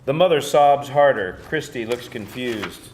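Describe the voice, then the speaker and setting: A man's voice: mid-range voice, expressive, unhurried, a little mellow, a little hiss or hum, rough recording. A man narrating screenplay stage directions in a scripted reading style at a live table read.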